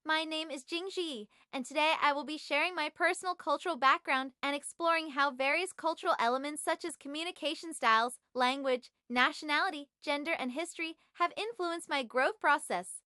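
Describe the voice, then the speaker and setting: A young woman from China, whose first language is Chinese, reads a prepared class presentation at a measured, even pace. Her voice sits high and sounds bright and clear. The sound is dry and close. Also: no echo. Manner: measured, evenly read. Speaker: graduate student. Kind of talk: scripted presentation.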